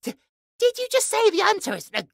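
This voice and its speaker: A woman's voice: very high voice, huge pitch swings, fairly quick, gravelly, no echo, studio quality, heavily acted. A woman playing a flustered, outspoken young human woman in a fantasy audio drama, blurting and stammering in exasperation. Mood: exasperated.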